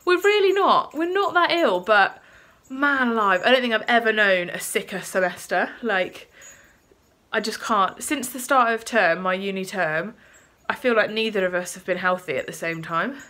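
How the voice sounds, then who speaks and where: A woman's voice: on the high side, expressive, normal speed, pushed and bright. A British woman in her twenties chatting casually to her phone camera, congested and a bit out of breath from a cold. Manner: chatty, lots of ums. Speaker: vlogger. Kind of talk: casual vlog chat. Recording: phone recording with light background noise.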